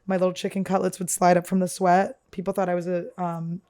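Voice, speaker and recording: A woman with a deep, damaged-sounding voice hosts a podcast, telling crude, self-mocking stories nonstop in a studio. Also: level tone, fast talker, dark tone. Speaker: podcast host. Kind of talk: rambling comedic storytelling.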